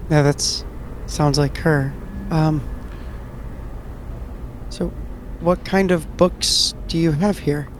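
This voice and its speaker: A man with a somewhat high voice plays in a tabletop roleplaying game. He improvises his character's lines hesitantly, with faint noise in the background. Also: fairly even intonation, slow pace, long pauses, low-quality recording.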